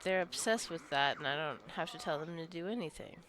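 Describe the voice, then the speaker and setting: A woman's voice: low voice, lively intonation, brisk, crowd noise. A woman giving casual, unscripted gameplay commentary, rambling and thinking aloud as she plays. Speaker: gaming video creator. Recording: clicking and faint background noise.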